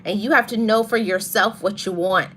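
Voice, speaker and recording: A woman hosting a motivational podcast, talking to her audience in a soft voice and repeating her key phrases for emphasis. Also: medium pitch, normal speed, a little hiss or hum. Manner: greets live viewers mid-talk. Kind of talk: live-streamed motivational talk.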